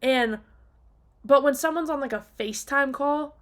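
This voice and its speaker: A woman's voice: sits high, moderate pace. A woman talking casually and off the cuff in an opinionated, emphatic rant, exasperated and casually sweary. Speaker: video diarist.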